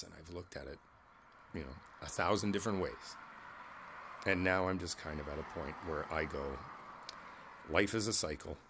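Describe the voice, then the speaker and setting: Older male neighbor played by a man, lowish voice, easygoing and philosophical, unhurried folksy pauses, reassuring one-on-one ASMR roleplay. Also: fairly bright tone, heavily acted. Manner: folksy, rambling, pausing, chuckling between thoughts. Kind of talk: ASMR roleplay, one-sided conversational monologue.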